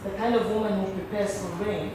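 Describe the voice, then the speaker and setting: A woman playing an imperious, scheming middle-aged wife and mother in a stage play, theatrical and deliberate. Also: medium pitch, steady tone, close to an everyday voice. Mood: mocking, calculating. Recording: distant, echoey, noisy theatre recording.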